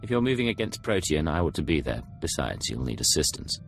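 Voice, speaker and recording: An actor playing a cool, commanding Imperial secret agent in a video game, speaking in a measured, authoritative way. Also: male voice, extremely low pitch, sing-song, creaky, faint music behind, heavily acted. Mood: firm.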